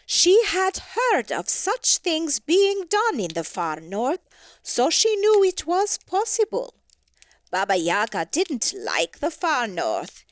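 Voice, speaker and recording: A woman reading a story aloud in an expressive, theatrical and wry storytelling manner. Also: high voice, takes it slowly, no echo. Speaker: story reader. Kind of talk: expressive scripted storybook narration.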